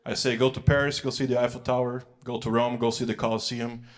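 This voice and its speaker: A man's voice: medium pitch, level tone, quick pace. A man, a young adult, performing a stand-up comedy set on a large stage, telling stories loosely with fillers and acting out quotes. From United States. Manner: conversational storytelling with filler words and acted-out quotes. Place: large music hall on stage.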